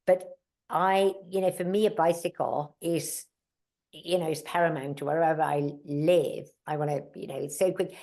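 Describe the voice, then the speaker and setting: A woman's voice: low-pitched, steady tone, normal speed, creaky, darkish tone. A middle-aged woman whose first language is German, telling stories in a casual interview with many fillers and asides. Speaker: mountaineer and guest.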